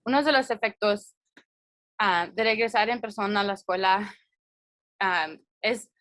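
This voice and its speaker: A woman's voice: medium pitch, unhurried, fairly bright tone, dry recording. A young woman giving a community presentation, earnest and hesitant, with many fillers and restarts.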